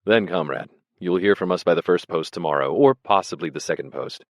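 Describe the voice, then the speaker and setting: A man voicing a fussy, unshaven Russian organizer who talks in a brisk, scolding way. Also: low voice, no echo, studio quality. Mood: brisk, businesslike.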